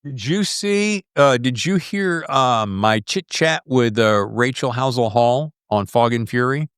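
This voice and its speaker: A man from the US South hosting a podcast in casual interview chat, animated and rambling with folksy slang. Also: medium pitch, measured pace, clear, resonant tone, no echo, clean studio sound. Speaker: podcast host.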